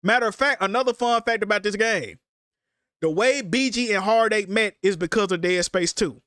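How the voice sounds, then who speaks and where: Congested streamer man, high voice. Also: moderate pace, a bit bright, dry recording, clean studio sound. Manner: loose slangy banter, swears often. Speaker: streamer host. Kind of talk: casual livestream chat.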